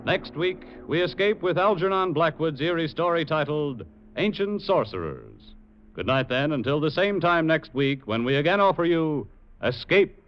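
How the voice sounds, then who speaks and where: A man's voice: on the high side, big pitch swings, normal speed, loud music behind the voice. A man announcing in a formal, theatrical 1940s radio style, reading a scripted introduction or credits. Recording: muffled vintage radio recording. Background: loud orchestral score.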